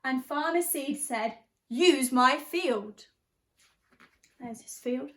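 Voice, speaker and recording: A woman with an expressive, chatty and encouraging way of talking, leading and reading aloud for young children as their teacher. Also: high-pitched, takes it slowly. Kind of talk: animated storybook read-aloud and guided exercise.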